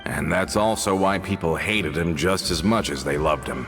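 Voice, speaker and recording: A sombre, loyal male character in a video game. His voice is low and his delivery measured and serious. Faint music plays underneath. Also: fairly bright tone.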